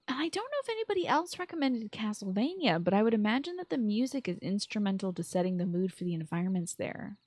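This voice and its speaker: A woman's voice: mid-range voice, no room sound. Woman podcast host, close dry recording. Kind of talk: scripted solo podcast commentary on video games.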